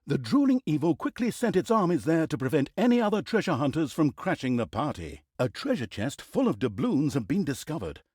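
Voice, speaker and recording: Wry, swooping fantasy game narrator. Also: male voice, fairly high pitch, very animated, fairly quick, few pauses, a little mellow, breathy edge, no echo, clean studio sound. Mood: mock-grand. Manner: sardonic, theatrical, mock-grand, teasing asides. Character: wry, mocking male storyteller of an evil fantasy campaign. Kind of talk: scripted storybook-style comic fantasy narration.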